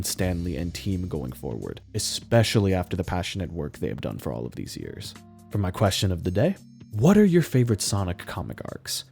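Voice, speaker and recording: A young man talking about comics on his own channel in an excited, gushing fan manner. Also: on the low side. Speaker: YouTube commentator. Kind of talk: enthusiastic fan commentary.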